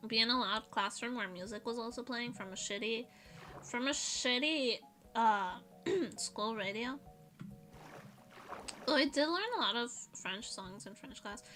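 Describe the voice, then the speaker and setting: A woman's voice: fairly high pitch, expressive, measured pace, sharp tone, soft background music. A woman streaming as a vtuber, rambling in casual chat and laughing often as she answers her chat.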